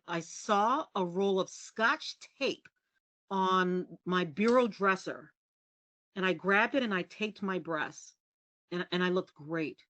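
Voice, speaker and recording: A middle-aged woman journalist from the US, telling candid stories as a podcast guest in a low, resonant voice. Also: no room sound. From United States. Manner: lively, candid and self-deprecating, laughs often. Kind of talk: casual storytelling interview.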